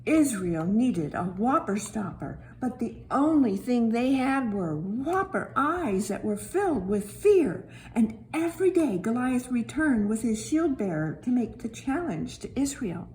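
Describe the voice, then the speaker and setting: A small talking stone played by a woman narrates a children's storybook in a slow, wide-eyed way. The delivery is dramatic and tender, leaning on hushed words and repeated emphasis, with noticeable noise behind the voice. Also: on the high side, very animated, poor audio. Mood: solemn.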